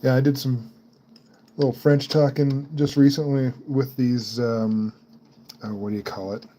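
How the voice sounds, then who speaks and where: A man's voice: medium pitch, flat delivery, a little slow, mellow, a little hiss or hum. A man hosting a live stream, chatting casually and laughing often while he works on computer parts. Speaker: livestream host building computers. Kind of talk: casual chat while working.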